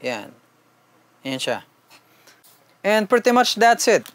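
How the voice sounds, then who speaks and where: A man's voice: high voice, sing-song, slow, a bit bright, a little hiss or hum. A man with a Filipino accent doing a casual, conversational unboxing and product review, mixing two languages as he talks informally to viewers.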